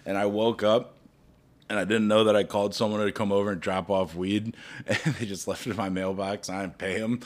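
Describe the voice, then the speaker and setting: A man's voice: lowish voice, level tone, brisk. A man co-hosting a comedy podcast, chatting casually with crude, teasing banter. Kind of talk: casual joking podcast chat.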